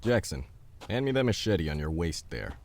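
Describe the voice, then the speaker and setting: A man playing a gruff, bossy, battle-scarred survivalist in an audio drama, blunt, teasing and swearing. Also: low voice, very animated, normal speed, background noise, full character voice. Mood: bossy, confident.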